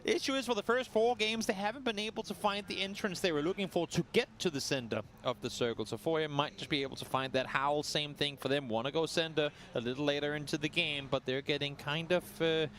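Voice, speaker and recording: A man's voice: fairly high pitch, a bit bright, slight background noise. A man from Denmark with a Danish accent giving analytical, good-humored color commentary on an esports match.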